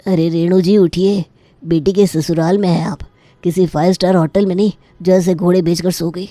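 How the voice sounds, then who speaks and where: A woman's voice: lowish voice, fairly even intonation, normal speed, warm tone, dry recording, lightly acted. A woman voicing a disapproving older father-in-law who chides reproachfully in a family story. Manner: chiding, reproachful.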